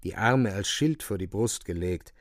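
Radio play narrator, male: solemn literary storytelling, creaky mid-range voice, very dry sound. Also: on the low side, lively intonation, unhurried, no room sound.

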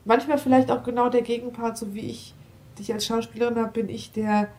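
A woman's voice: a little high, little pitch movement, fairly quick, a little mellow. An adult woman talking casually about personal things in a matter-of-fact way, correcting herself as she goes. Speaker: teacher.

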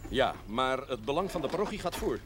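An actor playing a wily, cheerful country priest with a measured, wry manner in a television period drama. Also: male voice, mid-range voice, big pitch swings, noisy background, close to an everyday voice.

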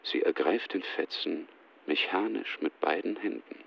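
Male narrator, radio drama: low gravelly voice, measured and detached, slightly muffled recording. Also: very noisy.

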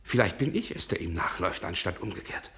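A brooding, suspicious gentleman in 1960s radio drama style. His voice is low and swings widely in pitch, with a forceful edge. He is agitated and sardonic. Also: expressive, brisk. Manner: agitated, sardonic, muttering to himself. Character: brooding, suspicious gentleman confronting a nocturnal visitor.

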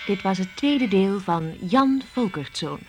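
A woman's voice: medium pitch, expressive, measured pace, a little mellow, soft background music. A woman reading out scripted credits as the announcer of a radio play.

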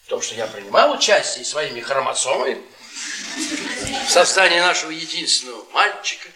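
A man's voice: a little high, very animated, brisk, distant mic, crowd noise. A man playing a sardonic, needling, middle-aged history professor in a theatrical radio play. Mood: bitter, declaiming. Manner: mocking, theatrical, baiting. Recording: echoey stage sound, audience noise.

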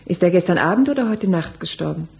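A woman acting as a village wife in a 1960s German radio drama, quietly putting pointed questions to her husband. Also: fairly low pitch, expressive, fast, creaky. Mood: searching. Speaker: actor in a radio crime drama.

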